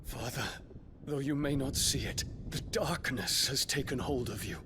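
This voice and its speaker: A man's voice: mid-range voice, normal speed, background noise, natural voice. An actor playing a grave, noble young prince in a video game, speaking formally and solemnly as he confronts his emperor father. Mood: impassioned pleading. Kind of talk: dramatic video game voice acting.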